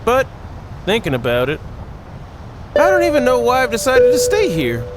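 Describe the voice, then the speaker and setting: A man's voice: very high-pitched, wildly swooping pitch, normal speed, very noisy, voice pushed up, exaggerated voice. A man voicing a lonely, nostalgic dweller of a rundown house in a visual novel, read in a hesitant, reflective way. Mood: wistful, hesitant.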